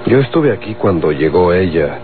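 A man's voice: deep voice, sing-song, moderate pace. A man playing a cornered Mexican lawyer in a radionovela, formal and deferential, with a hesitant, placating manner. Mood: anxious, formal. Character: cornered, deferential male lawyer, wary enemy of an outlaw. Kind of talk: Mexican golden-age radionovela acting. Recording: tinny old radio, faint music.

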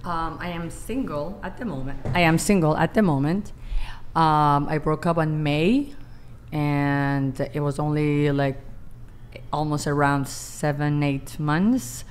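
A woman on a dating panel, talking slowly and haltingly about her relationships. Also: low voice, fairly even intonation, slight background noise. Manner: slow, halting, with fillers. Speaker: panel guest. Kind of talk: casual interview answers.

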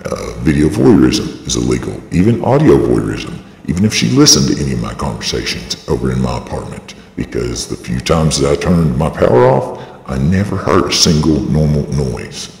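A man's voice: big pitch swings, moderate pace, creaky, darkish tone. A man giving an aggrieved, rambling and insistent personal statement through a voice changer. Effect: voice-changing device lowering the voice. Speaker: video blogger. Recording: processed, echoey voice with long reverb.

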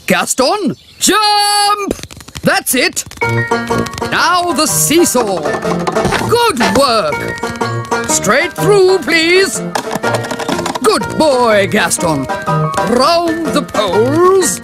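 Jolly, bossy, high-pitched cartoon teacher. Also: female voice, big pitch swings, exaggerated voice. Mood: brisk, commanding. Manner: brisk, hearty, commanding, old-fashioned exclamations. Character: jolly, bossy female elf pet-school teacher. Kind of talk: children's cartoon acting. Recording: music behind the voice.

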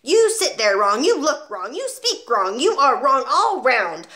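A woman's voice: mid-range voice, expressive, moderate pace. A woman voicing a huge, cruel, domineering storybook headmistress who barks her contempt. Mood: scathing. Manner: barking, shouting, contemptuous. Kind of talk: children's storybook read aloud.

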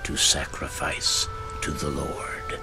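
An actor playing a grave, firm prophet in a dramatized scripture reading, measured and earnest. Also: male voice, extremely low pitch, monotone, over music, heavily acted.

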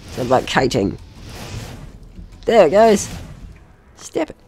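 A man's voice: medium pitch, big pitch swings, slow, a little mellow, faint music behind. A man giving casual let's play commentary, chatty and jokey, talking to himself and the game's enemies. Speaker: gaming channel host.

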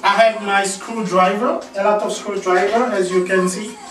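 A man's voice: high-pitched, fairly even intonation, moderate pace, fairly bright tone. A middle-aged man from Congo with a Congolese French accent, talking casually to camera in a home vlog, rambling and repeating himself with many fillers. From Democratic Republic of Congo. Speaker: video host. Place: small home bathroom, indoors. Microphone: camera mic from across the room. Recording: roomy echo, distant camera mic, music behind.

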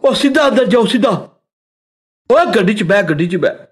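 A man voicing an excitable Punjabi squadmate in a game, calling out short exclamatory tactical orders with a Punjabi accent. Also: high-pitched, breathy edge. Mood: urgent alarm. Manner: exclamatory, drawn-out calls. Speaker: game voice pack character.

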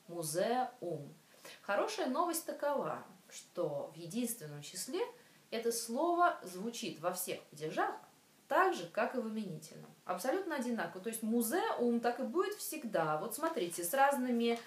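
A woman in her thirties hosts her own language lesson video, chatting loosely and pausing often to search for words. She is recorded from a small distance, so the voice sits back in an echoey room. Her examples come out slow and careful. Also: medium pitch. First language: Russian. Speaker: Polish language teacher and show host. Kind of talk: casual video lesson to camera.

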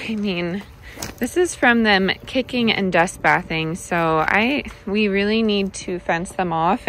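Bright-voiced chatty young mom. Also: female voice, mid-range voice, a little hiss or hum. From United States. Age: young adult. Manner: chatty and upbeat, lots of "like" and "uh". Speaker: family vlogger. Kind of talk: casual vlog talk to camera. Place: indoors room, then snowy backyard outdoors. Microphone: phone held at arm's length.

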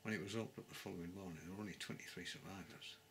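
An older man on a sofa in his living room gives an unscripted history talk to a close webcam. He speaks calmly and pauses to find his words. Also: deep voice. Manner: calm, measured, pauses to search for words. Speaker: travel vlogger. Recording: clear background noise.

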